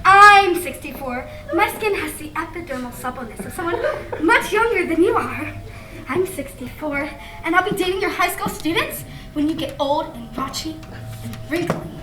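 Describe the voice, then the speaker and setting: A woman's voice: high voice, lively intonation, moderate pace, music in the background. A woman playing a vain, boastful sixty-four-year-old woman obsessed with looking young, on a school stage. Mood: indignant. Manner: haughty, boastful, cackling. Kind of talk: school stage play acting. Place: theatre stage. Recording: echoey theatre, distant mic, noisy.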